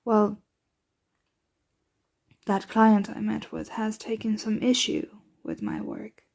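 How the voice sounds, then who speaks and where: A woman playing a protective, doting yet anxious vampire mistress in an ASMR roleplay, breathy and often whispering, with formal, measured diction. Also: mid-range voice, wildly swooping pitch, a little mellow, heavily acted. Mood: frustrated, weary.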